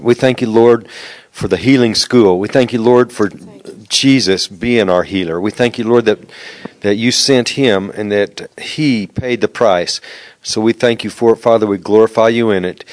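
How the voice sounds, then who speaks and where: A man's voice: on the low side, steady tone, normal speed. A man leading a spoken prayer with reverent, repeated thanksgiving. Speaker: congregation member leading prayer.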